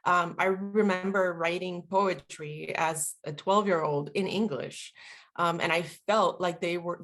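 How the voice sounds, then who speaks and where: A woman of about forty from Puerto Rico, a native Spanish speaker, talking reflectively and casually in an interview with lots of fillers. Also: lowish voice. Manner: reflective, chatty, many fillers. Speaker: guest, veteran and linguist.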